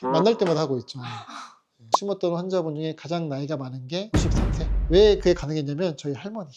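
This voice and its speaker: A man in his forties from Jeju, a local dentist giving relaxed, chatty interview answers with frequent soft fillers. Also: a little high, fast talker, loud music behind the voice. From Jeju, South Korea.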